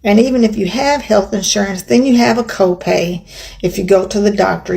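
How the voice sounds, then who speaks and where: A woman's voice: mid-range voice, creaky, a little mellow. An American woman vlogger talking casually about her own life in a chatty, emphatic, opinionated way. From United States. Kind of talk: casual personal vlog talk.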